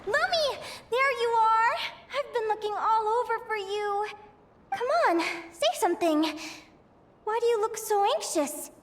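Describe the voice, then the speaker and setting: A woman voicing an excitable, sweet young female adventurer in a video game, expressive and girlish. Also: very high voice, a little slow, a little hiss or hum. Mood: searching, worried. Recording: music behind the voice.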